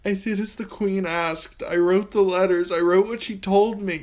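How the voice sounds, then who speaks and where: A man voicing a terrified teenage girl captive who pleads and sobs in a high, strained voice. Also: fairly even intonation, normal speed, darkish tone, close to an everyday voice. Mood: desperate pleading.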